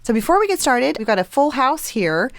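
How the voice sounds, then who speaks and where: A woman's voice: sits high, sing-song, brisk, no echo. A woman hosting a podcast, moving between scripted intros and casual chat. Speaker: podcast host.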